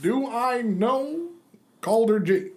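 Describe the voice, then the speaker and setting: A man acts out an exasperated suburban villain dad in a comic reading. His voice sits high and swings widely, with a whiny, awkward protest to it. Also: expressive, slow pace, darkish tone, heavily acted. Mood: eager, awkward. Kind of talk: amateur comic dramatic reading.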